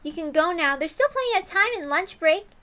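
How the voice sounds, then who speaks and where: Schoolgirl classmate, female reader, high and bright, friendly, chatty, earnest concern. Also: lively intonation, fairly quick, full character voice. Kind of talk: dramatic reading of a visual novel.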